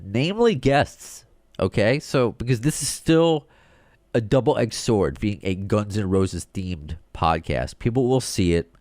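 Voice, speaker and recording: A man from the United States hosting a solo podcast, talking in an excited, rambling way with many restarts. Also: lowish voice, dry recording. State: short on sleep. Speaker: podcast host.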